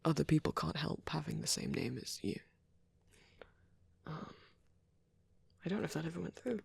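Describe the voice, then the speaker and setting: A woman playing a shy, nervous vampire boy in a soft-spoken ASMR roleplay, with a hesitant, rambling, stammering delivery and a husky voice. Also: low voice, expressive, normal speed, no room sound, exaggerated voice. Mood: rambling, absorbed. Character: shy, nervous young male vampire on a date.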